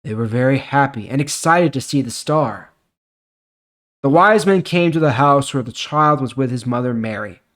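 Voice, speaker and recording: A man with a medium-pitched voice hosts a Bible reading series. He reads scripture and explains it in plain, informal terms, leaning on key words as he goes. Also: expressive, normal speed, a bit bright. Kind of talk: scripture reading with casual commentary.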